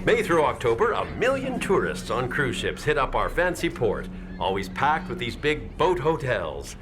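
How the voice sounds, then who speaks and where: An actor playing a jovial veteran tour bus guide with folksy, corny patter in a radio play. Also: male voice, medium pitch, very animated, moderate pace, natural voice. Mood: upbeat patter. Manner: chirpy scripted patter, folksy word play. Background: vehicle sounds and music.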